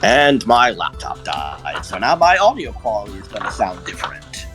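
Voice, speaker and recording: A man's voice: lowish voice, wildly swooping pitch, moderate pace, music in the background. A man hosting an informal, casual opinion chat, talking loosely with a co-host. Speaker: channel host.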